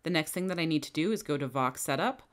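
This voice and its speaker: A woman with a low-pitched voice guides the listener through a software setup one click at a time. Her delivery is clear and instructional, recorded close on a desk microphone. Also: lively intonation, fast. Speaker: software trainer. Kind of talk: screen-recorded software tutorial. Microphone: headset or bench microphone.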